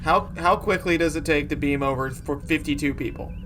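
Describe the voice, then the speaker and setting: A man's voice: on the high side, fairly quick, noisy background. A man playing in a tabletop game, talking casually as a player.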